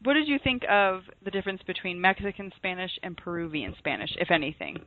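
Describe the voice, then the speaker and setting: A woman's voice: lowish voice, very animated, fast, no echo. A woman hosting an interview, asking questions in a friendly way with brief replies. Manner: friendly, brief responses, laughs along. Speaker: host. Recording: dry tinny phone-like line.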